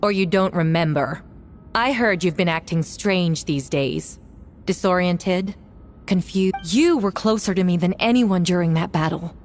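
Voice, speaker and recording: A woman's voice: fairly low pitch, measured pace, faint music behind. A woman voicing an imperious female knight in a video game, accusing theatrically and growing frantic. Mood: cold pressing. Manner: theatrical, forceful courtroom accusing. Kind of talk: dramatic video game voice acting.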